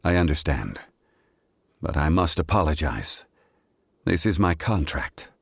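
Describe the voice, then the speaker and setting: A man playing an ancient, wise and reserved god in a video game, speaking in a measured, formal and grave manner. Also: very deep voice, animated, gravelly, breathy edge, heavily acted. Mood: regretful, firm. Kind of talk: dramatic video game dialogue.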